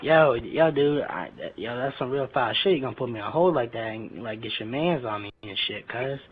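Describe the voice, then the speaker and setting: A man's voice: mid-range voice, animated, brisk. A man making an improvised prank phone call, talking in pushy, cocky street slang over a headset in online voice chat. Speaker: prank caller. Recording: noisy game voice chat with clicks.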